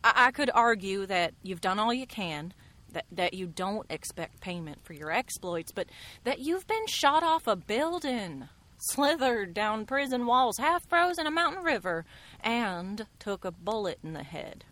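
A woman's voice: mid-range voice, sing-song, unhurried, a bit bright. A woman playing a resolute frontier woman in a western radio drama, speaking earnestly and directly. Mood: practical, persuasive. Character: frank resolute frontier woman, the fugitive's companion.

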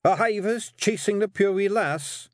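A man's voice: high voice, a little slow, dry recording, clean studio sound, voice pushed up. A man voicing a blustering, easily flustered little fairy clan chief with a Scottish accent in an audiobook. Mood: worried, protesting. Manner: exclamatory, excitable, faltering under pressure. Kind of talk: audiobook character voice.

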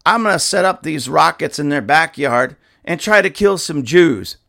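Man playing a callous male militant, raised commanding voice, gravelly edge, pitched higher than his own. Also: animated, moderate pace, sharp tone, full character voice. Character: armed male militant giving orders.

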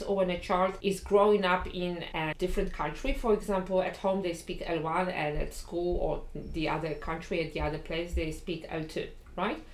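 Woman, Polish accent, medium pitch, educator, point-by-point explanation, echoey room. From Poland. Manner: explains point by point, often checks in with "right?". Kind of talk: explanatory lesson talk.

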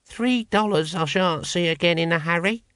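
A man's voice: high voice, moderate pace, fairly bright tone, clear, resonant tone, dry recording, pitched above the performer's own voice. A man voicing an aggrieved, penny-pinching cult brother who mutters and grumbles, in an audiobook. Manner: muttering, colloquial. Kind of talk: audiobook character acting.

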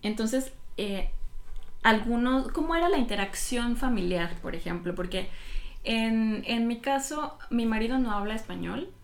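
A woman, hosting a podcast interview and asking questions in a measured, friendly way with many restarts. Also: a little high, expressive. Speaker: podcast host.

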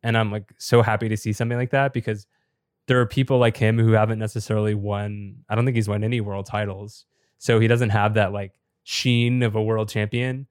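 Flat, slightly raspy man, a little sick. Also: fairly low pitch, no echo, studio quality. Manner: chatty, explains at length with many filler words. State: slightly sick, losing his voice. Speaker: podcast co-host and top competitive player. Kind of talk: casual podcast explanation.

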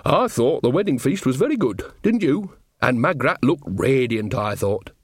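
A sly, earthy old witch woman gossips away in rustic grammar, as a male reader puts her on. Her voice swoops with lively melody. The storybook sound is dry and close, with no room around it. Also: mid-range voice, normal speed, husky, no echo. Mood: gossipy.

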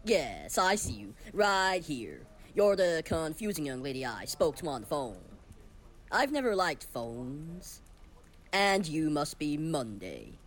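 A man's voice: on the high side, big pitch swings, a bit bright, heavily acted. A man as a rambling, absent-minded boss, swooping voice. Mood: absent-minded, genial. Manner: halting, forgetful, cheerfully oblivious. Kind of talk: comic audio play acting.

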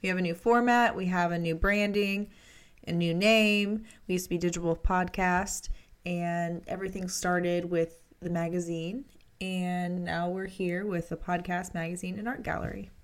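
Woman, slightly low voice, podcast host, reading announcements from notes. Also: fairly even intonation, moderate pace.

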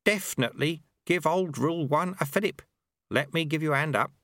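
A man's voice: fairly high pitch, fairly quick, fairly bright tone, no echo, studio quality, pitched above the performer's own voice, close to an everyday voice. A man voicing a wily old male martial arts master who teases and bosses his pupil. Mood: sly teasing. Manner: sly, teasing, bossy.

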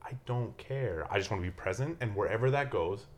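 Laid-back man, somewhat low voice. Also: animated, fairly quick. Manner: laid-back, reflective, frequent swearing. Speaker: podcast host. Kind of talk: casual conversational chat.

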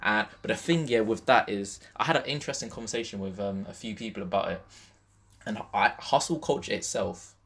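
Low London male voice, slangy podcast co-host. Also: brisk, vocal fry, fairly bright tone, breathy edge. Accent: British, London. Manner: slangy, conversational, peppered with "yeah" and "like". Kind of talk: casual podcast chat.

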